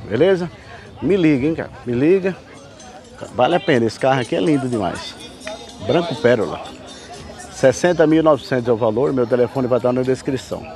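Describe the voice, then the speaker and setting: A man from Brazil giving a folksy, chatty sales pitch while filming cars at an outdoor car fair on a handheld camera. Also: expressive, fairly quick, a little mellow, soft background music, some chatter behind. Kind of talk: walkaround sales vlog. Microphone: handheld camera mic, filming.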